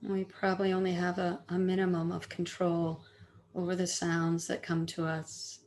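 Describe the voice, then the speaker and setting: A woman teaching meditation, giving gentle, soothing guided instructions with long pauses between phrases. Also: on the low side, completely flat delivery, a little hiss or hum.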